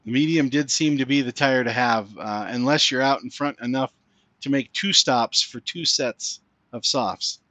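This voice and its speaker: A man's voice: medium pitch, moderate pace, sharp tone, no echo. A man hosting a sim-racing broadcast, interviewing drivers after the race in an easygoing way with fillers. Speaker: race commentator host.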